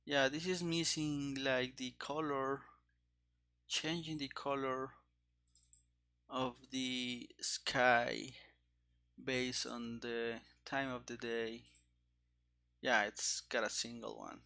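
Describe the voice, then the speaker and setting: A man thinking aloud in short, halting phrases during a casual live coding session, as a programmer streaming game development. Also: medium pitch, level tone, pushed and bright.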